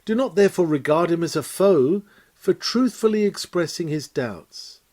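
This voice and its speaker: A man reading a lecture aloud as scripted narration, in a measured, emphatic, oratorical manner. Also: mid-range voice, mellow. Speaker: reader of a historic sermon lecture.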